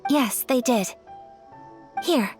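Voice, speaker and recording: A woman's voice: a little high, extremely slow, faint music behind. A refined young noblewoman. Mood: gracious. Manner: formal, gracious, soft-spoken. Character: gentle, refined young noblewoman, polite and earnest. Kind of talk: game voice acting.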